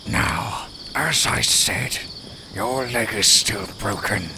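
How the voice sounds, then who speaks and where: A man's voice: fairly low pitch, slow, sharp tone, slight background noise, exaggerated voice. A man voicing a haughty, sardonic lone werewolf in an audio roleplay, speaking to the listener in formal, condescending, theatrical phrases. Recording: night forest ambience with crickets, clearly audible. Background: outdoor forest sounds, crickets.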